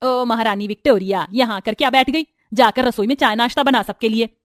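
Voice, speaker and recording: Lady doing a sharp-tongued bossy mother-in-law from a storybook, high pitched, close dry sound. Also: a little fast, no room sound, natural voice. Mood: scolding. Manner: sarcastic, domineering, sharp-tongued. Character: scolding, bossy middle-aged mother-in-law.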